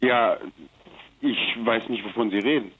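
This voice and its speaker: A man's voice: lowish voice, unhurried, a little hiss or hum. A man answering a phone call in a casual, defensive way over a tinny telephone line. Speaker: prank call recipient.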